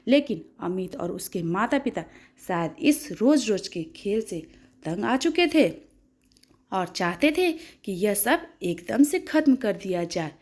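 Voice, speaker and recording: Woman storyteller with lively, swooping intonation. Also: medium pitch. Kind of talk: dramatic moral story narration.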